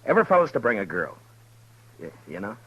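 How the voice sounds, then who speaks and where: A man's voice: lowish voice, sing-song, fast, slightly rough, dull audio. A man playing a bashful frontier marshal in a 1950s radio drama, stammering as he tries to ask a woman out. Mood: shy. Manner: hesitant, stammering, shy.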